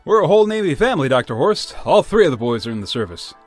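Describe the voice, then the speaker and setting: A man's voice: a little high, sing-song, quick pace, soft background music. An actor playing a folksy, jovial, hospitable old father in a radio drama. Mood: proud. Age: older.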